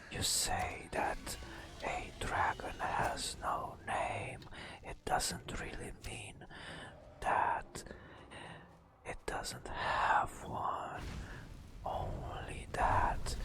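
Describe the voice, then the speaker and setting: A man softly narrating a fantasy game as ASMR, in a breathy whisper with slow, dramatic storytelling and long pauses.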